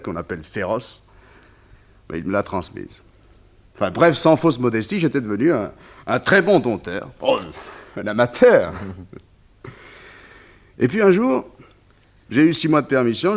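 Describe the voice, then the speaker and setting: A man's voice: medium pitch, very animated, normal speed, pauses often. An actor playing a wistful former colonial officer turned circus tamer in a French radio serial, telling his story in a conversational, hesitant way. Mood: nostalgic.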